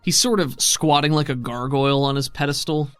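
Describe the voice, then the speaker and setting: A man's voice: fairly high pitch, fairly quick, a bit bright, clear, resonant tone, no echo, soft background music. A man working as game master, improvising narration for a tabletop role-playing game.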